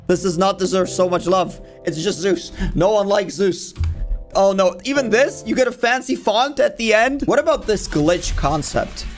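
A man's voice: high-pitched, normal speed, soft background music. A man in his twenties giving casual, jokey reaction commentary in informal language, as a video host. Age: 20s. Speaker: YouTube host reacting to game cutscenes. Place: small home room, indoors.

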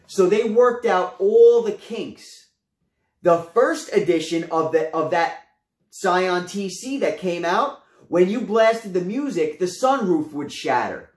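A young man reviews home gym gear, talking to his viewers in a lively, gesturing way. His voice sits high for a man. The camera mic is a few feet off, so the small room's echo comes through. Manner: animated, gestures a lot, addresses viewers as guys. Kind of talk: casual product review to camera. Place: small room at home, indoors. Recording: roomy echo.

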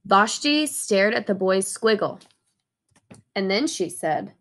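A young adult woman reading a picture book aloud as host, with expressive, deliberate storytelling. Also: mid-range voice. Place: small indoor room.

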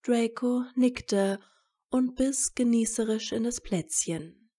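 A woman's voice: a little high, takes it slowly, warm tone. A woman narrating a scripted story.

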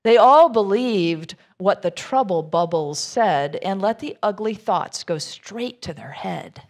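Slow, creaky-voiced woman reading a storybook. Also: medium pitch. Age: middle-aged. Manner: measured, expressive storytelling with informal asides to listeners. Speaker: minister. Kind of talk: reading a children's rhyming storybook aloud to a congregation.

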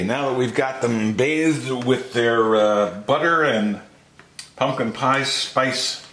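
Low-voiced older man, noisy recording. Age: 60s. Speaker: host of a home cooking channel. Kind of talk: casual cooking tutorial. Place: home kitchen and backyard patio.

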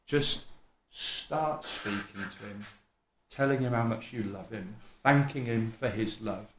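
A middle-aged man with an East Midlands accent tinged with Welsh, preaching a sermon at a lectern in a small church hall, animated and chatty, acting out what people say. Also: lowish voice, measured pace, a little hiss or hum. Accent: East Midlands English with some Welsh. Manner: animated, conversational, self-mocking, acts out people talking. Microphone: stand mic at a lectern, filmed by a camera across the room.

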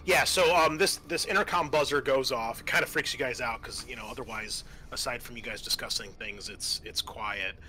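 A man narrating a tabletop roleplaying game as game master, halting and thinking aloud as he improvises. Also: mid-range voice, steady tone, bright, forceful tone, slight background noise, low-quality recording.

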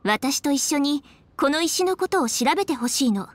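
A woman's voice: sits high, lively intonation, normal speed, noisy background. A woman voicing a proud, refined, dutiful young lady official in an anime game, with poised feminine speech. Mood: composed, earnest. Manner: poised, formal feminine speech, flustered when teased. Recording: faint music behind.

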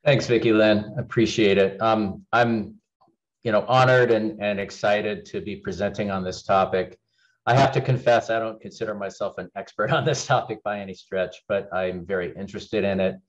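A middle-aged man with a very low voice speaks over a webcam from an office. He talks in a candid, conversational way, pausing on fillers and restarting phrases. The call audio is dull and lo-fi. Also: steady tone. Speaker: keynote speaker, legal executive. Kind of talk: webinar keynote presentation. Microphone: webcam on video call.

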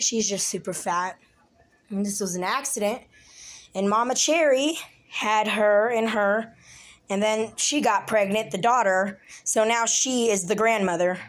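Raspy young woman, chatty and a bit sick. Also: medium pitch. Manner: chatty, lots of ums and likes. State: a little sick, clearing throat. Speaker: pet channel host. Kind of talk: casual phone vlog. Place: small room indoors. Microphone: handheld phone, mostly behind the camera.

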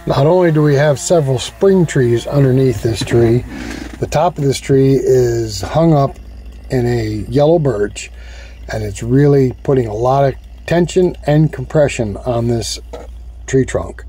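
A man calmly explaining how to cut up a fallen tree, recorded outdoors in a forest on a camera mic. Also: mid-range voice, unhurried, a little hiss or hum. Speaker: woodcutter host. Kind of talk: instructional outdoor narration.

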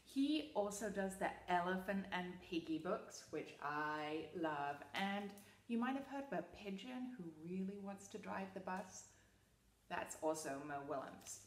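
A woman in her thirties, a school librarian presenting a read-aloud for children in an animated, emphatic way. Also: medium pitch, roomy sound, distant mic. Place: small plain room indoors.

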